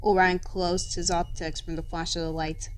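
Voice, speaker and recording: A woman's voice: lowish voice, flat delivery, normal speed, soft background music, low-quality recording. A woman storyteller reading scripted fan fiction as dramatic narration.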